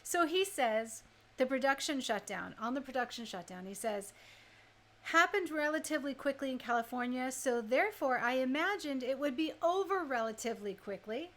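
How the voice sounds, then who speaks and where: A woman's voice: sits high, expressive, moderate pace, fairly bright tone. A woman hosting a live radio stream, chatting casually and conversationally, speaking directly to her listeners. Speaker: radio DJ and host.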